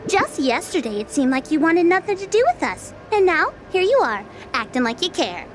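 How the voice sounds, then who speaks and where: A woman plays a young goddess of an audio drama, coy, devoted and teasing. Her voice sits very high and swings in lively arcs, over faint music. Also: normal speed.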